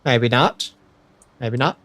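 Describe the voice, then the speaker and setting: A man's voice: medium pitch, takes it slowly, a bit bright, no echo. A young American man chatting casually to viewers while he livestreams a building game, rambling and correcting himself as he goes. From United States. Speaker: streamer.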